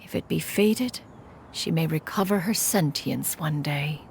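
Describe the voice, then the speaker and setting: A woman's voice: low-pitched, a little slow, creaky, darkish tone, a little hiss or hum. A woman voicing an aloof, ancient female crane spirit in a game cutscene, speaking in a formal, lofty manner. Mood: solemn. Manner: formal, refers to herself as "one".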